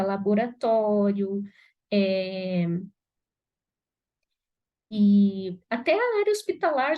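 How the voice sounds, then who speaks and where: A woman's voice: on the high side, darkish tone. A Brazilian woman pharmacist giving a live class to students, talking conversationally with a congested, cold-stuffed voice and frequent "né?" tags. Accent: Brazilian Portuguese. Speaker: pharmacist teaching aesthetics students. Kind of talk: live online class talk.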